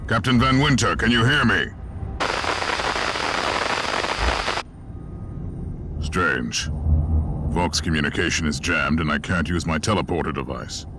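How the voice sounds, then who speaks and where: Very deep-voiced, stern inquisitor. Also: male voice, animated, moderate pace, loud music behind the voice. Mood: tense. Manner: clipped, formal, grave. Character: stern, analytical male Inquisitor investigator in dark space fantasy. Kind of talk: video game acting, dictated log narration.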